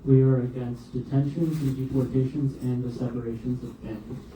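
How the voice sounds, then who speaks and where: A man moderating a panel and interpreting a speaker into English in a steady, plain delivery. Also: medium pitch, brisk. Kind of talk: live consecutive interpreting. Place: university hall event. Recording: very echoey hall, distant mic, noise.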